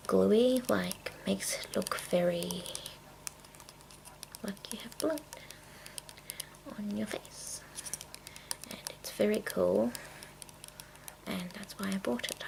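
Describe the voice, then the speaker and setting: A woman making a casual ASMR video, speaking in a breathy, mostly whispered voice with a halting, rambling delivery. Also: medium pitch, noisy background. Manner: hushed, unhurried, halting. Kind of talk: ASMR, rambling product show-and-tell.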